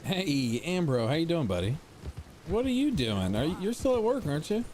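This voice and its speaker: A man's voice: a little high, very animated, moderate pace, a little mellow, slight background noise. A forty-year-old man chatting casually with viewers while streaming a game, rambling and repeating himself. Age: 40s. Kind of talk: casual live-stream chat over gameplay.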